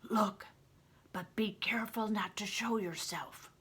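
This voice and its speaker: A woman's voice: medium pitch, measured pace, fairly bright tone, slightly rough. An older woman voicing a solemn, watchful wandering medieval performer, a grown man in a storybook, grave and commanding. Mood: cautious.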